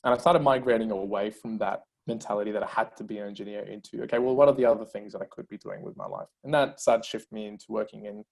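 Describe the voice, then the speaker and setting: A man's voice: lowish voice, fast, vocal fry, few pauses, rough recording. A man in his thirties, born in Colombia and a Spanish speaker, telling his life story as a guest in a casual interview. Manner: energetic storyteller, many fillers.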